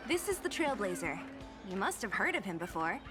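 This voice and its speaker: A woman's voice: medium pitch, brisk, over music, natural voice. A woman voicing a blunt, restless young woman in a game, talking in a weary, grumbling way. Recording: game audio with steady background noise.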